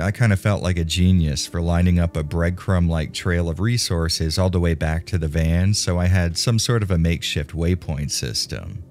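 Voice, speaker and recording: A man with a very low voice hosts a video game review over faint background music. His narration is scripted but conversational, delivered with a wry, tongue-in-cheek sense of humour. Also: darkish tone.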